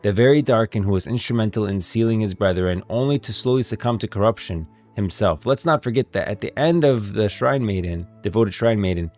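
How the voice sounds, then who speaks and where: A man's voice: fairly low pitch, fairly quick, few pauses, darkish tone, soft background music. A man doing casual live-stream commentary, talking in an emphatic, repetitive, slangy way.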